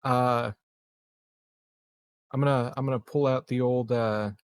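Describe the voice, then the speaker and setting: A man's voice: mid-range voice, steady tone, unhurried, smooth, no echo. A man playing a tabletop game, speaking casually in a hesitant, joking way. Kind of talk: casual banter.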